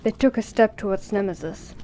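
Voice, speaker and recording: A woman narrates a scripted drama in a warm, dark-toned voice of average pitch. A faint ambient noise sits behind her reading. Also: a little fast, low-quality recording.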